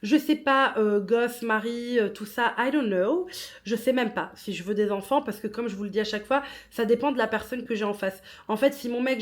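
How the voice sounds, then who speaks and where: A woman in her thirties talks through her plans in a loose, chatty monologue. She isn't a native speaker of French and keeps slipping English words into her sentences. She laughs at her own slips. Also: mid-range voice. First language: not French. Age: 30s. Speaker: podcaster and writer. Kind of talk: casual personal monologue.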